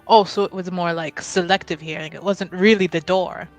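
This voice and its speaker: A woman playing a composed, fondly teasing noblewoman in a tabletop game, speaking in a measured, dry way. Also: on the low side, fairly even intonation, faint music behind, lightly acted. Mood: gently chiding. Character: composed, gently teasing noblewoman, fond elder confidante.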